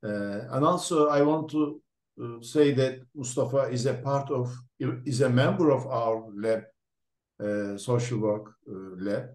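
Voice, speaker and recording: Man, interview host, Turkish native speaking English, mid-pitched, slow, many ums, echoey room. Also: darkish tone. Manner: slow, hesitant, many fillers and restarts. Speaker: interview host, lab researcher. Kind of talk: halting interview introduction.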